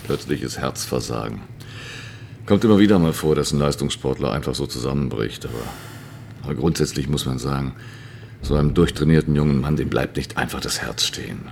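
A man playing a laconic forensic pathologist in a radio crime drama, with a deep gravelly rumble and a terse manner. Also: a little mellow. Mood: matter-of-fact. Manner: terse, slow to commit, matter-of-fact.

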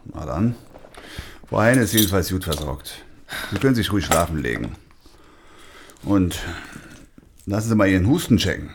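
A man's voice: deep voice, lively intonation, moderate pace, gravelly, pauses often, noisy background. A man playing a matter-of-fact, slightly dismissive emergency doctor in an audio drama, casual and a bit patronizing. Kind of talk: naturalistic audio drama dialogue.

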